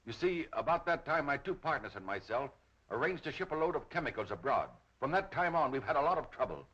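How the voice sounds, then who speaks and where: Male, harried, anxious executive; lively inflection, 1930s film acting, dull vintage soundtrack. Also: on the high side, fast talker. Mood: worried, earnest. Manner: earnest, nervous.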